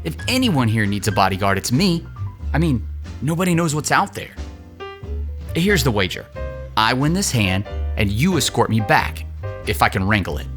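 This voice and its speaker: A man's voice: medium pitch, very animated, normal speed, long pauses, a bit bright, soft background music. A man playing a cocky, whiny, entitled young rich son in an audio drama, sarcastic and theatrical. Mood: cocky wheedling. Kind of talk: scripted audio drama acting.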